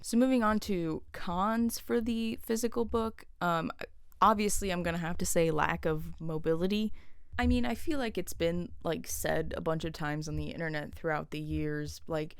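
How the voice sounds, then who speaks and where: Young woman chatting to camera, low smooth voice, laughs a lot, dry room. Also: no echo. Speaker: vlogger. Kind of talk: casual talk to camera.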